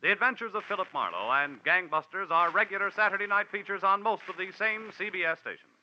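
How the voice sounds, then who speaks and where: A man's voice: sits high, animated, fast talker. A man announcing on 1940s network radio, reading scripted program promos. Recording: muffled, noisy old radio recording.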